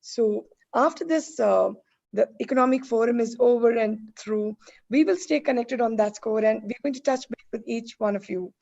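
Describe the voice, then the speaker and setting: A woman hosts an online panel with effusive politeness. Her voice is fairly high and mellow, with plenty of creak. The sound is slightly dull, like a video call. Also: normal speed. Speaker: panel host. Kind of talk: hosting an online panel discussion.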